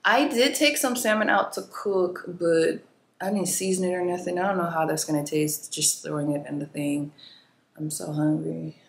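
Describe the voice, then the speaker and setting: A woman's voice: low-pitched, steady tone, normal speed, creaky, slight background noise. A young woman vlogger chatting casually about cleaning her living room, sounding tired and rambling with loose filler words. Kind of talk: casual chat. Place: bright home living room.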